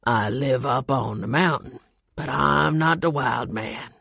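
Woman voicing a gravelly, gruff old mountain man. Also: rumbling low, a bit bright, no echo, clean studio sound, voice pushed down, heavily acted. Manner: slow, terse.

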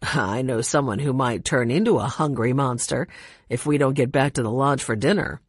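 A woman voicing an elderly grandfather with a warm, gently teasing manner. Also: rumbling low, moderate pace, husky, no echo, clean studio sound, pitched below the performer's own voice. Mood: kindly. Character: kind, teasing old grandfather.

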